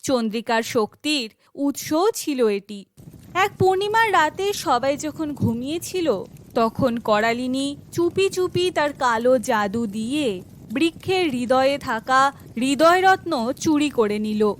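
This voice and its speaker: A woman's voice: high-pitched, lively intonation, takes it slowly, a little hiss or hum. A woman reading scripted fairy tale narration for children, as a storyteller.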